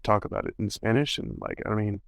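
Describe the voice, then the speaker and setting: A man's voice: fairly low pitch, brisk, gravelly, no room sound, studio quality. A male podcast host chatting casually and rambling, with many fillers. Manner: rambling, full of "you know" and "like". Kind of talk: casual interview chat.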